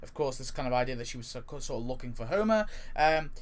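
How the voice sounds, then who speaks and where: A man's voice: medium pitch, lively intonation, fast. A young man hosting a casual countdown video, rambling and repeating words for emphasis. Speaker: gaming video host.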